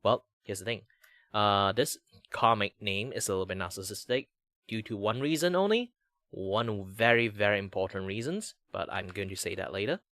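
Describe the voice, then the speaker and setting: Chatty Malaysian-accented young man, low voice. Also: expressive, moderate pace, fairly bright tone, no room sound, clean studio sound. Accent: Malaysian English. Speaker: streamer host. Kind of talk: casual chat while drawing.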